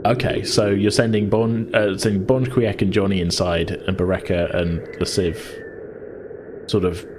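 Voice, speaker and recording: A man's voice: low-pitched, level tone, moderate pace, background noise. A man acting as game master, narrating a tabletop role-playing game and feeling his way through descriptions.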